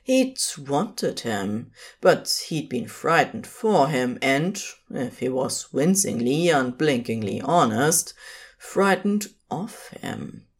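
An audiobook-style narrator of fan fiction with an intimate storytelling delivery. The pace is very slow and deliberate, and the pitch moves in a lively way through each sentence. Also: breathy edge.

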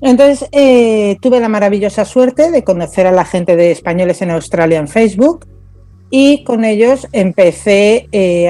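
A woman from Spain, a radio guest telling her own story in a relaxed way with many small pauses. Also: on the low side. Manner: storytelling, hesitant fillers. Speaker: guest, social media community manager. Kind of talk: relaxed interview talk. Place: radio studio.

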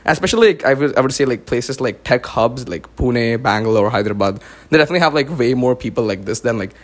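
A man's voice: medium pitch, expressive. A young man hosting a podcast in casual chat, raised in India after a US birth, talking with lots of "like" fillers. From born in the US, raised in India. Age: young adult. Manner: fast, filler-heavy talk with many "like"s. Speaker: podcast host.

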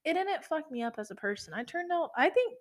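Chatty young woman, higher voice. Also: big pitch swings, a little fast. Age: 20s. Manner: chatty, swears, laughs often, ranting asides. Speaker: podcast co-host. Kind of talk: casual true-crime chat.

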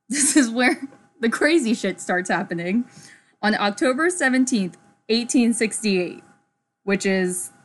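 A young woman co-hosting a casual podcast, chatty and giggly, reading history from her notes with lots of "like". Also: mid-range voice. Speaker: podcast co-host. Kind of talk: casual chat.

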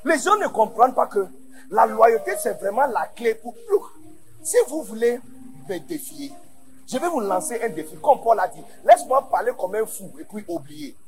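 A man from Ghana with English as his first language, preaching a sermon in French to a large conference, going from quiet asides to shouted emphasis. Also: sits high, very animated, normal speed. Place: large church conference hall.

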